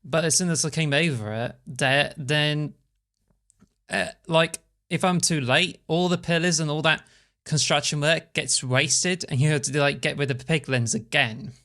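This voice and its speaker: Bright-voiced co-host, rambling. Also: female voice, low-pitched, moderate pace. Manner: rambling, with many "like" fillers. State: strained back. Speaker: podcast co-host. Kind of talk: casual chat. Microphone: podcast microphone.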